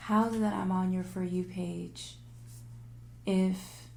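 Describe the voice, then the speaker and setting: A woman hosting a tarot livestream in casual, animated, informal talk, thinking aloud and repeating phrases for emphasis, her voice turning a little raspy. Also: lowish voice, slow pace, slight background noise. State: voice getting raspy. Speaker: tarot reader and livestream host.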